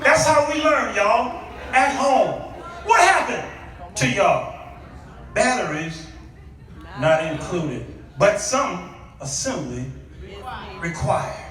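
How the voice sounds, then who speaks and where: A middle-aged American man preaching a humorous, animated storytelling sermon, often throwing questions out to his congregation. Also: sits high, takes it slowly, pauses often. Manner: animated, declamatory, often calling on the listeners with questions. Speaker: guest preacher. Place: large echoey room with a congregation. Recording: echoey hall, distant mic, audience noise.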